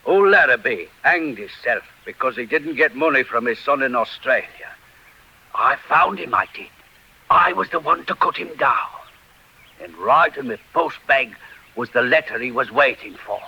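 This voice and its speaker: A talkative rustic postman in a forties radio drama. He is folksy and mournful, tacking tags onto his phrases in a bright voice. The vintage recording is muffled. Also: mid-range voice, lively intonation, moderate pace, gravelly, husky, exaggerated voice. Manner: folksy, repeats tag phrases. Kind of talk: 1940s radio drama acting. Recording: dull 1940s radio recording.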